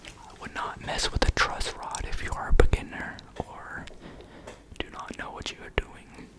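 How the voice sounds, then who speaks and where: A person whispering an informal ASMR guitar lesson, hesitating and repeating key words. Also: normal speed. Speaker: guitar explainer.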